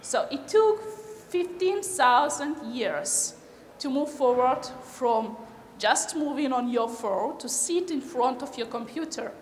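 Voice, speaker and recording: A middle-aged woman from Kyrgyzstan giving a conference talk, hesitant with many fillers and non-native phrasing, recorded in a large lecture hall. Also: high voice. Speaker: conference speaker. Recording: echoey hall with reverb, faint noise.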